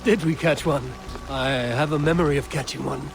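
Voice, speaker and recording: An actor playing a loyal, resolute Viking warrior in a video game, speaking in solemn, formal archaic phrases. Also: male voice, medium pitch, steady tone, normal speed, vocal fry, over music, natural voice. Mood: wistful. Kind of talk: cinematic video game acting.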